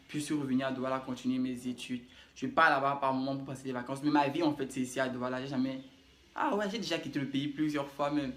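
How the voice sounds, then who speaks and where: A young man from Cameroon with a Cameroonian French accent, introducing himself in a casual vlog, rambling and often repeating or correcting himself. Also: medium pitch. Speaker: YouTuber. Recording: echoey room.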